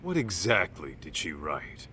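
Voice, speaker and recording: A gruff middle-aged detective for a video game drama. His voice sits low with a bright, tense edge and moves around animatedly. He talks in blunt, informal phrases, like someone with little patience left, over faint background ambience. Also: wildly swooping pitch, measured pace, slight background noise, heavily acted. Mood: uneasy, dry. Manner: informal, blunt, clipped tough-guy phrasing. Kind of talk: video game cutscene dub acting.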